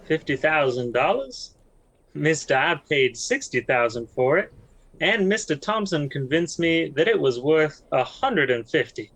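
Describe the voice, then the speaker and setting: Quiet, unhurried young rancher. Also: male voice, fairly high pitch, sing-song, no echo, exaggerated voice. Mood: bewildered, troubled. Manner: quiet, hesitant drawl. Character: gentle, dreamy young newcomer rancher, naive and sad.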